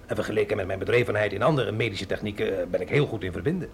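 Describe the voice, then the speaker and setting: A man's voice: fairly low pitch, very fast, vocal fry, breathy edge, exaggerated voice. An actor playing a capable, reluctant but dutiful male agent in a radio drama, with a dry, wry manner. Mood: skeptical, irritated. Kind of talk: Dutch radio drama acting.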